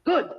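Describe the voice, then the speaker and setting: A man's voice: extremely high pitch, vocal fry, exaggerated voice. A man playing a pompous, menacing commander of a masked secret society in an old radio drama. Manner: pompous, authoritative. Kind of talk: 1930s radio drama acting. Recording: muffled, noisy old radio recording.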